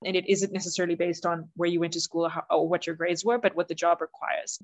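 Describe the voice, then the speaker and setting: Woman from south india, middle-aged, lowish voice, explaining stuff earnestly in an interview. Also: level tone, fast. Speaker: interview guest, youth employment leader.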